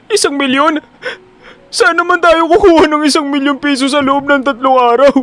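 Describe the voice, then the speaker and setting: A man's voice: very high voice, fairly even intonation, normal speed, a bit bright, pitched above the performer's own voice. A man voicing a young son who sobs and wails with overwrought, fake grief in an audio drama. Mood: fake sobbing. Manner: wailing, overwrought. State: crying. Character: young son putting on frantic, sobbing grief.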